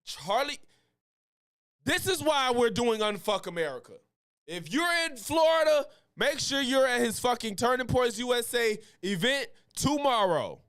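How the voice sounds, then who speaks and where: A man's voice: very high-pitched, lively intonation, a little slow, fairly bright tone, smooth, no room sound, clean studio sound. A man doing live reaction commentary in a heated, profane, slangy manner. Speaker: political commentary streamer.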